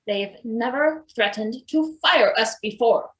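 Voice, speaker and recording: Woman playing slow, hesitant worker with bright voice. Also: fairly high pitch, poor audio. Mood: startled, defensive. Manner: halting, understated. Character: hesitant, mild-mannered, weary male maintenance worker named Izzy. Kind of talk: live table read of a satirical stage play.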